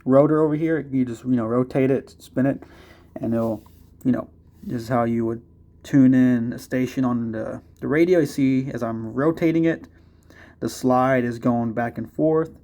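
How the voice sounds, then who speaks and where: A man's voice: medium pitch, moderate pace, warm tone. A man giving a casual, hands-on product review in a rambling, hesitant way with folksy phrasing.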